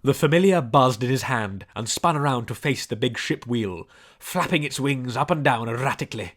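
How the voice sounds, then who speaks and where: A man reading scripted story narration in a measured storybook delivery. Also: mid-range voice, lively intonation, fairly bright tone. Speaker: audiobook narrator.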